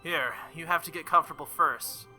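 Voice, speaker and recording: Ein neckendes, herrisches Teenager-Mädchen, von einem Mann vorgelesen, mit sehr heller, scharfer Stimme und leicht erhobener Tonlage. Sie drängt und stichelt ohne Zögern. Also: male voice, fairly high pitch, a little fast, pushed and bright, soft background music, poor audio. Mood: coaxing. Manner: teasing and bossy. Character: bossy, teasing teenage girl mage.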